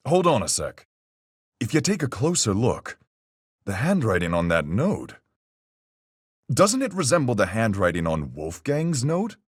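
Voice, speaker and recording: An actor playing a calm seafaring man in a video game, reasoning things through with folksy sailor talk in a husky, gravelly voice. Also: medium pitch, no room sound, studio quality, full character voice. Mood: measured, reasoning. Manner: folksy nautical speech, measured and reasoning. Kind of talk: video game voice acting, deliberate argument.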